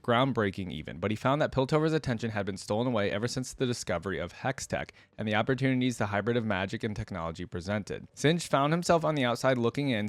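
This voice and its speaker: Guy doing casual reaction commentary n reading stuff out, lowish voice, really dry close sound. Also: no room sound. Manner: informal, drops in side comments while reading. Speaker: reaction video host.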